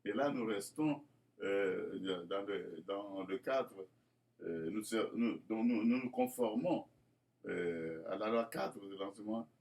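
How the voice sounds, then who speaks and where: A man's voice: on the low side, slow pace, a little mellow. A man from Congo presenting his school project in a formal, churchly way, with many fillers and repeated words. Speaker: school founder addressing inspectors. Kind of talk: hesitant spoken presentation.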